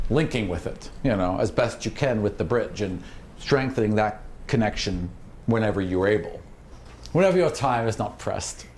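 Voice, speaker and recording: Guy game master explaining the fantasy world off the cuff, medium pitch, bit of noise behind. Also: darkish tone. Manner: conversational, explanatory. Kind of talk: improvised tabletop game narration.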